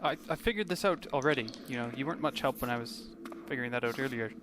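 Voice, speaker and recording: A man chats through a video game in a medium-pitched voice. He rambles hesitantly with wry asides. The background noise is very loud. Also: fast. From Canada. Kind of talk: casual gameplay commentary. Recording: very noisy game sound behind commentary.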